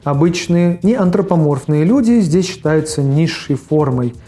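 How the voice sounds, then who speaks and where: A man with a fairly high voice narrates a casual review as host. Faint music plays behind his voice-over. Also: animated, measured pace, mellow. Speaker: video host reviewing forgotten cartoons.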